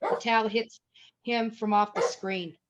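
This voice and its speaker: A woman's voice: on the high side, fairly even intonation, measured pace, background noise. A woman reading stage directions as the narrator of a screenplay table read. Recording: dull, lo-fi audio.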